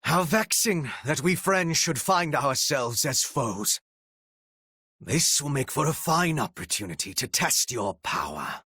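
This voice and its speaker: An actor playing a cold, menacing and devoted retainer and strategist in a video game, with formal, archaic, theatrical diction and a raspy voice. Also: male voice, fairly high pitch, very animated, measured pace, bright, forceful tone, no room sound, clean studio sound, heavily acted. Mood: cold menace.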